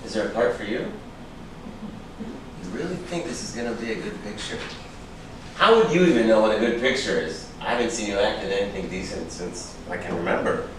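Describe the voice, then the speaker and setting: Man playing a low, cynical, washed-up writer, echoey room. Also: a little fast, close to an everyday voice. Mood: mocking. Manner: sardonic, defensive. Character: cynical, boozy, washed-up male screenwriter-director, proud and defensive. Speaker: actor in a staged reading. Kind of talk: theatrical staged reading. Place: indoor room at a live staged reading. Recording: echoey room, distant mic, noisy background hiss.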